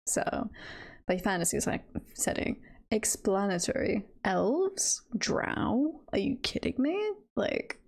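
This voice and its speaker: Playful woman streamer with creaky voice. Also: medium pitch, sing-song, slow, a little mellow. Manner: giggly, playful, rambling with trailing-off thoughts. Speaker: streamer and voice roleplayer chatting with viewers. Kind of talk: casual live stream chat.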